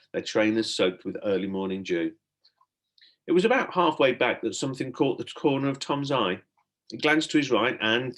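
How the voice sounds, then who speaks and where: A man with a medium-pitched voice reads a children's story aloud. He narrates like a primary teacher, expressively and with dramatic storytelling phrasing.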